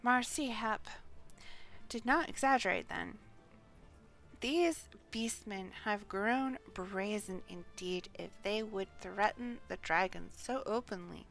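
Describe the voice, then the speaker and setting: A woman's voice: mid-range voice, takes it slowly, gravelly, a bit bright, soft background music. A woman voicing a grave, resolute noble lady warrior from a fantasy game, with formal, archaic and stately diction. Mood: grave, foreboding. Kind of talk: fantasy game dialogue read aloud.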